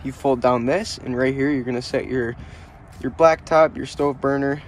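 A man's voice: medium pitch, moderate pace, a little hiss or hum. Young man giving a casual trailer walkaround. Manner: relaxed, plainspoken, pointing things out as he goes. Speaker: RV salesman giving a walkaround tour. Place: outdoors at an RV lot, then inside a small trailer.

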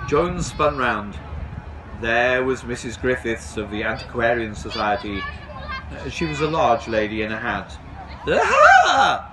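A man's voice: medium pitch, a little slow, a loud crowd, very noisy. A middle-aged man reading a children's storybook aloud outdoors. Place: on a bench outdoors. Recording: outdoors with background bustle.

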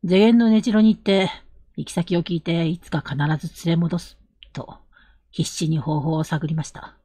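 A woman voicing a calm, protective young man, an elder brother in a Japanese period audio drama, with a husky voice and measured, earnest delivery. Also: fairly low pitch, no echo, studio quality. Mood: firm, polite.

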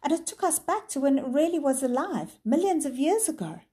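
A woman's voice: high-pitched, big pitch swings, brisk, warm tone, pitched above the performer's own voice. A woman reading a story aloud, voicing a young boy who speaks weakly and hesitantly. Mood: nervous. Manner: hesitant and weak. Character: nervous young boy caught off guard.

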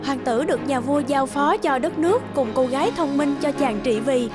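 A woman's voice: high voice, normal speed, over music. A woman narrating a scripted fairy tale.

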